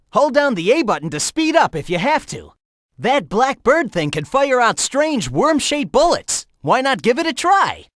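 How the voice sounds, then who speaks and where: A man's voice: very high-pitched, fairly bright tone, no echo, studio quality, full character voice. An actor voicing a cocky, upbeat young male hedgehog hero in a video game, giving breezy, encouraging hints.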